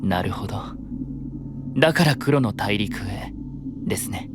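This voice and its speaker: An actor playing a composed, courteous knight companion with polite, measured speech in a fantasy audio drama. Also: male voice, on the low side, expressive, noisy background. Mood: gentle, composed. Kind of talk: anime-style fantasy audio drama acting.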